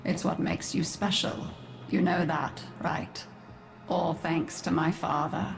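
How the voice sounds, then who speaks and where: A weary, scornful matriarch of a strange infested family in a video game. She speaks slowly and broodingly, with haughty theatrical weight. Her female voice rings in an echoey space, over clear music. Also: lowish voice, steady tone, exaggerated voice. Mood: scornful, resigned. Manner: slow, brooding, haughty delivery.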